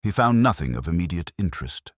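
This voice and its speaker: A man's voice: deep voice, sing-song, fast, dry recording, studio quality. A man reading a scripted story aloud as an audiobook narrator.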